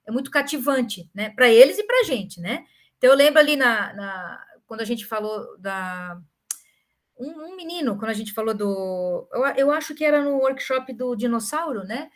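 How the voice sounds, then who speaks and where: Higher-pitched Brazilian woman speaking informally. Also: fairly bright tone. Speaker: academic speaker answering questions. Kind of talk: informal online talk.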